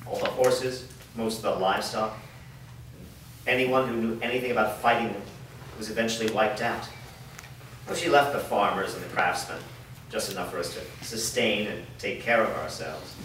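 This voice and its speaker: A man's voice: lowish voice, a bit bright, close to an everyday voice. A man acting a weary, guilt-ridden blacksmith father in a staged fantasy drama reading. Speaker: actor. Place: echoey room, recorded from a distance at a staged reading. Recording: echoey room, distant mic, clear background noise.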